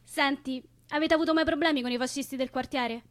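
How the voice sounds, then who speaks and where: An actress playing a curious, cheeky young newcomer to a revolutionary group in a stage comedy, speaking casually and bluntly with a Roman accent. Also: female voice, high voice, steady tone, a little fast, close to an everyday voice. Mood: probing. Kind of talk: comic stage play dialogue.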